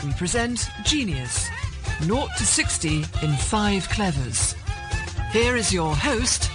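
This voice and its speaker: A woman's voice: mid-range voice, big pitch swings, slow pace. A woman radio announcer reading scripted show credits and introductions. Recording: loud music under voice.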